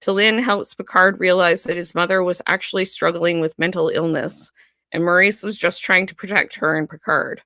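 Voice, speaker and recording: Bright, gravelly woman chatting. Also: fairly low pitch, moderate pace, dry recording. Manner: animated, laughs often, many fillers. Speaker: podcast co-host. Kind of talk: casual fan discussion.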